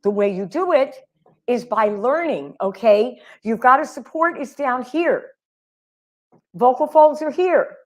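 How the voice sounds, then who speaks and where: A middle-aged woman coaching singers in an animated, emphatic live chat. Her voice sits fairly high and she keeps calling her listeners honey. The talk is loose and encouraging. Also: a little slow. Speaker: vocal coach.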